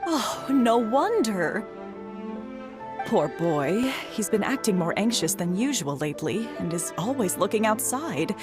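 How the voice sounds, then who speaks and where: A woman's voice: mid-range voice, very animated, a little slow, music in the background. A woman voicing a polite, caring female tea house worker in a video game, speaking earnestly. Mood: caring, surprised.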